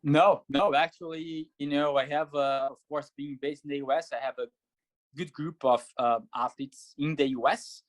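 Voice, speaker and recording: A man from Brazil, speaking as a guest on an interview show. He is a triathlete and coach who talks in a measured, thoughtful way with frequent fillers. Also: a little high, steady tone, no room sound, low-quality recording. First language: Portuguese. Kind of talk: casual interview answers.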